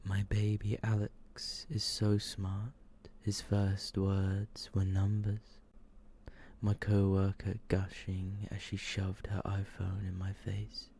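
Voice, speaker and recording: A man softly whispering and murmuring as he reads in an ASMR style, hushed and drawn out, close to the microphone. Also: sits low, monotone, vocal fry, slight background noise. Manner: hushed, slow, drawn-out delivery. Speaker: ASMR video host reading short horror stories.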